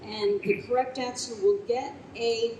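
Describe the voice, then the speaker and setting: Slow, halting female event emcee. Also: fairly even intonation, warm tone. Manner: halting, hesitant. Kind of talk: informal live hosting. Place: convention hall. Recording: echoey hall, faint noise and rumble.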